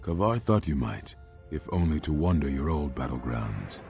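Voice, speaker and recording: An actor playing a grave, knowing older Jedi master in a video game, speaking in a measured, solemn, mellow voice. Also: male voice, big pitch swings, creaky, soft background music, full character voice. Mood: grave, reflective. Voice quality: very deep, mellow.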